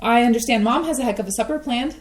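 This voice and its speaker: An actress reading an upbeat, encouraging grown daughter in a staged play reading. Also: female voice, fairly high pitch, fast, close to an everyday voice. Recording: echoey room.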